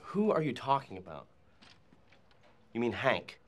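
A man's voice: medium pitch, big pitch swings, measured pace, a little hiss or hum. An actor playing a decent male employee in a film scene, protesting in disbelief. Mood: incredulous. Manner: protesting, incredulous.

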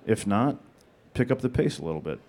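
A man answering audience questions at a panel in a flat, deadpan monotone. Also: lowish voice, fairly quick, a little hiss or hum. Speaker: panel guest.

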